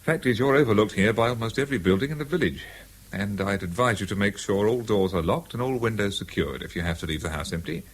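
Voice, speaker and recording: An actor playing a courteous, methodical English police sergeant in a 1970s British radio drama, with a husky voice and measured official manner. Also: male voice, fairly low pitch, animated. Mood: official, calm.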